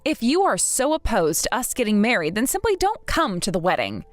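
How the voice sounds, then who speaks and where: A woman in her thirties voicing a patient, polite bride-to-be who speaks in a soft, measured, restrained way. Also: on the high side, wildly swooping pitch, dry recording, faint music behind. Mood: hurt. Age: 30s.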